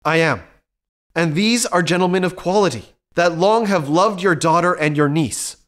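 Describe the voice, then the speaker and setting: A man playing an earnest gentleman physician, formal and persuasive in a period comedy audio play. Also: high voice, normal speed. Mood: earnest, soothing.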